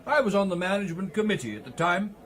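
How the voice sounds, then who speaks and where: Hearty, high-voiced English club gentleman. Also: animated, fast talker. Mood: gossipy, indignant. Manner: jovial, bossy, clubbish old-fashioned turns of phrase. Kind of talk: period radio drama acting.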